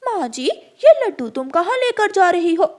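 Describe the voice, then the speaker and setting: Lady doing a pushy greedy crow auntie for a cartoon, high voice, very up and down. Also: very animated, normal speed. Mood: sly coaxing. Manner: pushy, demanding. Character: greedy, bullying female crow aunt.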